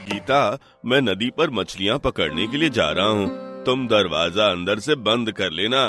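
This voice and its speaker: Low-voiced, weary poor fisherman played by a man. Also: lively intonation, measured pace. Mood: protesting. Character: poor, weary, God-fearing fisherman husband. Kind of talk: cartoon moral story dialogue. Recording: loud music bed behind voice. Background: music.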